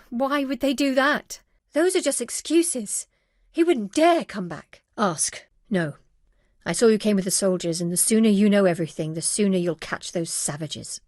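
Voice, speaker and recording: An actress playing a grieving, wary middle-aged widow who runs a medieval stud farm, speaking in an earnest, plain-spoken way in video game dialogue. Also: female voice, fairly high pitch, no echo, clean studio sound. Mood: bitter, accusing, then guarded, troubled.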